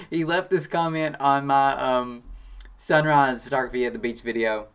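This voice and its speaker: A sixteen-year-old boy from Kentucky with a country accent, chatting excitedly as he answers viewer questions from his home studio. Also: medium pitch, a little slow, clear, resonant tone. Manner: excitable, chatty, defensive then joking. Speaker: photography channel host. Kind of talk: casual Q&A reading comments.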